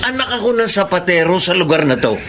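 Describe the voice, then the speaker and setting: Radio drama heavy: blustering, menacing Filipino bully, pitched high, territorial and taunting. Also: male voice, sing-song, fast. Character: swaggering, menacing male neighbourhood bully, territorial and quarrelsome.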